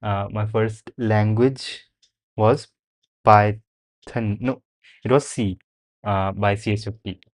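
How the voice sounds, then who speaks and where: A young man with a native Hindi background talks on a live stream in a slightly low voice. He thinks out loud and answers viewer questions in a loose, casual way, dropping the occasional swear. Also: steady tone, takes it slowly, long pauses.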